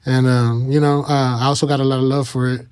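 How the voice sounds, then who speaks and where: Male interview guest, thirties, American accent. Smooth voice, little pitch movement, halting casual storytelling with many filler pauses. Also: level tone, darkish tone. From Kansas and Mexico. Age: 30s. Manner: halting, frequent "you know" pauses.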